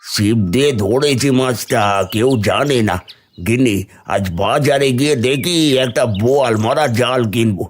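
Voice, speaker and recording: A man's voice: mid-range voice, moderate pace, natural voice. A man voicing a sly, greedy villager in a cartoon, furtive and conspiratorial. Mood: boastful. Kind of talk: cartoon dialogue.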